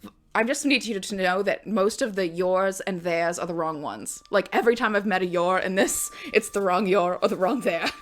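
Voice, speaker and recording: A woman's voice: mid-range voice, moderate pace. A woman giving a dramatic reading of her own fan fiction, with wry, self-mocking asides. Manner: theatrical, self-mocking commentary. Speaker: reader and host.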